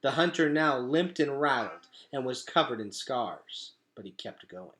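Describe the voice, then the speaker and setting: A man narrating a children's storybook aloud in a dramatic, emphatic way, dropping to a hush at times. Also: mid-range voice, expressive, a little slow. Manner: dramatic, emphatic delivery with hushed drops.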